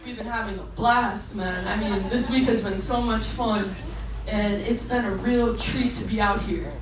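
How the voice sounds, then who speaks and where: A woman in her twenties from Massachusetts, a saxophonist bandleader chatting excitedly with a club crowd between songs, laughing often. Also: mid-range voice, a little slow. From Massachusetts, USA. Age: 20s. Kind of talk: live stage banter between songs. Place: live music club on stage. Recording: echoey club, distant mic, loud crowd and noise.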